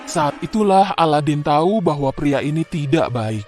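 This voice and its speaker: A man narrating a scripted children's fairy tale in an expressive, dramatic way. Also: fairly high pitch, normal speed. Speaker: storyteller. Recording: music behind the voice.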